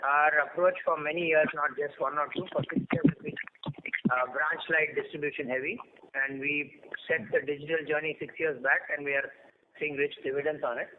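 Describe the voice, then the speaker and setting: A tinny conference line with faint noise carries a man with an Indian accent and a somewhat high voice. He answers like a senior banker, laying out his points in a measured, orderly way. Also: fairly even intonation. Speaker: senior bank executive answering analysts. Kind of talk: earnings call answers. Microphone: telephone conference line.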